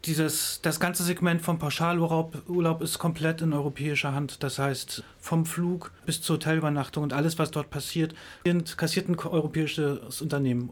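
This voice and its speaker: A man answering interview questions, explaining thoughtfully with frequent hesitations and fillers. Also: fairly high pitch. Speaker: interviewed expert on tourism.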